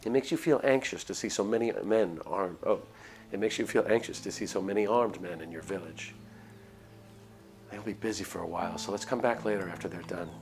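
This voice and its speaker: A man streaming a visual novel, reading it aloud as measured, expressive story narration. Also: sits low, slight background noise. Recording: clear music behind voice. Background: background music.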